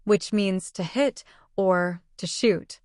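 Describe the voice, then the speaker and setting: A woman teaching a language lesson, explaining phrases in an even, scripted way. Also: medium pitch, slow, no echo.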